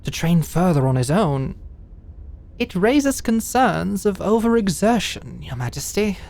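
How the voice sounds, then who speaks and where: Low-voiced, formal lady knight played by a woman. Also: very animated, darkish tone, full character voice. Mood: guarded, deferential. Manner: formal, measured, deferential. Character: composed, dutiful lady knight, formal and guarded. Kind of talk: fantasy audio drama acting.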